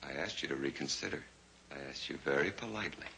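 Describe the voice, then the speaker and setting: An actor playing a cold, scheming nephew who talks with calm, polite menace in a 1950s radio drama. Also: male voice, rumbling low, fast talker, vocal fry, exaggerated voice, low-quality recording. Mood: menacing. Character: cold scheming nephew, adult man, ruthless. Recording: old radio recording with faint hiss.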